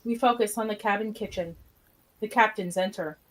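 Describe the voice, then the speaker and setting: A woman's voice: mid-range voice, moderate pace, rough recording. A woman reading stage directions as narrator in a plain, matter-of-fact way. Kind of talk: scripted narration of a live script read.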